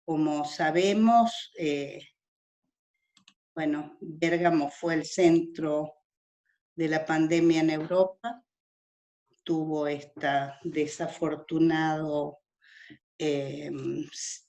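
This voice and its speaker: A woman's voice: low-pitched, fairly even intonation, drawn-out words, pauses often, warm tone. A woman hosting an online talk, introducing the guest with frequent hesitations and fillers, over a video call.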